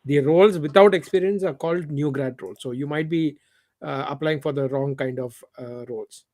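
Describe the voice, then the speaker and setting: A man's voice: on the high side, a little fast, a little mellow. A man giving career advice at a developers meetup, explaining things plainly and repeating his key points. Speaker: meetup organizer.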